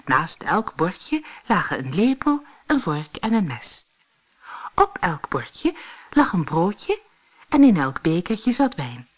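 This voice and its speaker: Woman reading a kids fairytale audiobook, takes her time, really lively melody. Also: lowish voice. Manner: expressive, deliberate storytelling for children. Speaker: storyteller. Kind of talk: scripted fairy tale audiobook narration.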